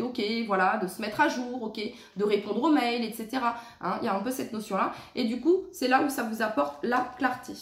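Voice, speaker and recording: A woman giving a casual tarot reading at home, chatty and full of fillers, reading the card texts slowly and gently. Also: a little high, reverberant. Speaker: tarot reader. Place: her home. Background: cleanup noise outside.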